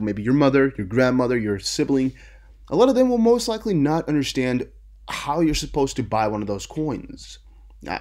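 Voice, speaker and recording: A man's voice: medium pitch, a little mellow. A young man whose first language is Dutch, casually reading and commenting on articles, often stumbling and repeating words. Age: young adult. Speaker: crypto news youtuber.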